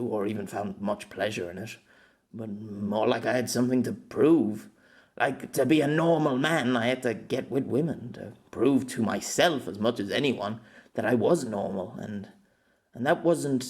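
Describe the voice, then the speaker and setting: A man's voice: mid-range voice, darkish tone, close to an everyday voice. A man voicing an earnest, reserved man who speaks hesitantly and reflectively in an audiobook. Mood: wistful. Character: earnest, wistful, reserved man confiding his feelings. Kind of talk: amateur audiobook character dialogue.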